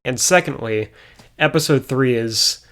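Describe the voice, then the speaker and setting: A man with a hoarse voice recapping episodes of an old show as a review host, with dry, sarcastic asides. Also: mid-range voice, measured pace, very noisy. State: hoarse after a concert.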